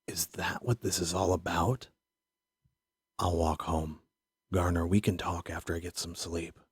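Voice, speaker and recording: Man as a low-voiced, dogged detective. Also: fairly even intonation, unhurried, slightly rough, no echo, clean studio sound, full character voice. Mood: weary, guarded. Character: worn-out, dogged male police detective Harry Jackson.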